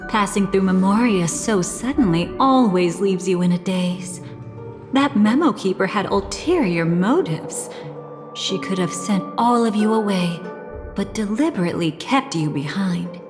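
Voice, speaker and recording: An actress as a cunning, protective young woman from a story-driven video game. She speaks slowly and knowingly, poised with a hint of playful menace. Her voice rings in a long reverb with clear music behind it. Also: mid-range voice, lively intonation, lightly acted. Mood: reassuring, composed.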